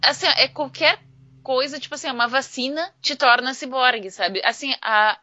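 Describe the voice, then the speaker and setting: A Brazilian woman chatting informally as a podcast panelist, explaining ideas in an animated, slangy way. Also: sits high, normal speed, no echo. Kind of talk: casual discussion of ideas. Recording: dull lo-fi online audio, music underneath.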